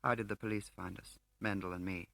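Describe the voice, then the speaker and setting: A man's voice: fairly low pitch, fairly even intonation, brisk, gravelly, no room sound. Weary, courteous English intelligence man read by a male performer, subdued, hesitant, dry close audiobook sound. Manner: hesitant, quiet, understated. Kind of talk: audiobook character acting.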